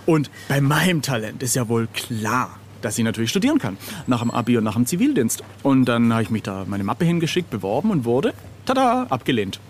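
Outdoors at a street café, with faint ambient noise, a man in his late forties talks in a middle-pitched voice. He is from southern Germany and tells stories casually as an interview guest, slipping into quoted dialogue as he goes. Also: big pitch swings, a little fast. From southern Germany (Swabia). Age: late 40s. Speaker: interview guest, freelance illustrator and cover artist. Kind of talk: casual anecdotal chat. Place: outside at a street café in a city.

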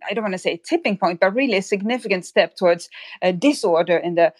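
A woman's voice: mid-range voice, fast talker, no echo, clean studio sound. A woman speaking as an expert guest in an interview, analysing at length and often repeating words as she thinks aloud. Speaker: expert guest on maritime affairs.

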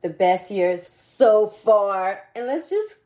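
A woman with a raspy voice hosting a motivational podcast, talking casually and mentoring her listeners, often repeating phrases. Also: mid-range voice, sing-song, slow pace, slight background noise. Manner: warm mentoring tone, repeats phrases, bursts of laughter. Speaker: podcast host.

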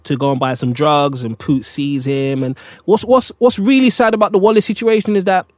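A man's voice: on the high side, fairly even intonation, a little fast, darkish tone, dry recording, studio quality. Reflective man in casual talk. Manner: reflective, repeats phrases while thinking. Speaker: podcast co-host. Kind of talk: casual chat.

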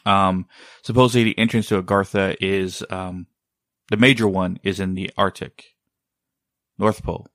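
A man's voice: low-pitched, fairly even intonation, normal speed, long pauses, a bit bright, no room sound, studio quality. A man hosting a casual chat, rambling and often searching for the right word.

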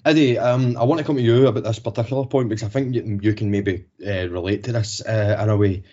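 A man's voice: fairly low pitch, steady tone, quick pace, mellow, muffled recording. A man hosting a casual podcast, asking long, rambling questions full of ums and restarts. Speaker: podcast host.

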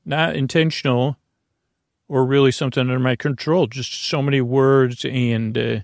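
Creaky-voiced, meandering American man. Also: mid-range voice, fairly even intonation, moderate pace, dry recording, clean studio sound. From Long Island, US. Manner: meandering, tangential, stumbling and mashing words together; lulling, soothing delivery. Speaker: sleep podcast host. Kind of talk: rambling bedtime monologue.

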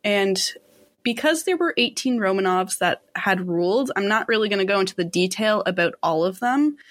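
A woman's voice: mid-range voice, fairly bright tone, no echo. Young woman, casual podcast co-host, chatty with lots of fillers and restarts, dry close mic. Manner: chatty, many fillers and self-corrections. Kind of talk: casual podcast narration of history.

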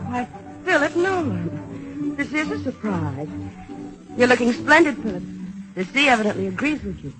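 An actress playing a poised, cool former sweetheart, formal and measured, in an old-time radio drama. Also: female voice, fairly high pitch, sing-song, music in the background, low-quality recording. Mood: cool, restrained. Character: poised, cool former sweetheart, now married, politely wounding.

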